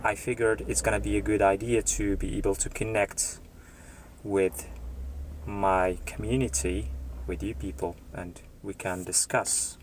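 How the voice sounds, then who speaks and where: A man hosts his first live stream as an English tutor. His voice sits low and his delivery is slow and unhurried, full of small pauses and fillers as he reads the chat and greets viewers by name. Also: little pitch movement, slight background noise, rough recording. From Morocco.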